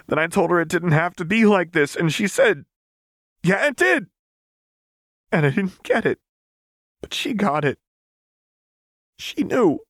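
A man's voice: sits high, big pitch swings, unhurried, pauses often, a bit bright, no echo, studio quality, voice pushed up, heavily acted. A man voicing a tough, hot-headed young woman in an audiobook, blunt and heated. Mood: choked, upset. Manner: blunt, spitting, insistent.

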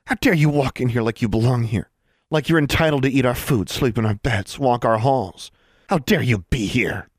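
A vengeful teenage witch bully, played by a male narrator in a fantasy audiobook. The voice is pushed high and swings wildly as she snarls and shouts accusations. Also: very animated, a little fast, no echo, clean studio sound. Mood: snarling, indignant.

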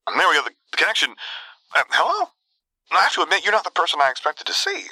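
A man voicing a calm, polite and friendly young man in an audio story. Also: fairly high pitch, fast, no echo, pitched above the performer's own voice, exaggerated voice, poor audio. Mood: mildly surprised.

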